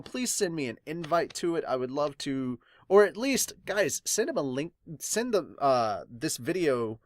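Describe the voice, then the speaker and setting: A man hosting an anime and manga review podcast, speaking in an animated, exclamatory and informal way as he gives fan commentary. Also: fairly high pitch, dry recording, clean studio sound. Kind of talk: casual fan commentary, reading out viewer comments.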